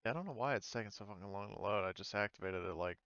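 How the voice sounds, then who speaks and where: A man as game master, narrating and chatting casually in a halting, loosely profane way. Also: lowish voice, fairly bright tone, clear, resonant tone, dry recording, dull audio.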